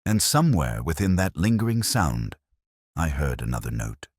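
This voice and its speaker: A man reading a scripted first-person detective story in a measured, literary and brooding way. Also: extremely low pitch, sing-song, breathy edge, dry recording, studio quality. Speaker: narrator.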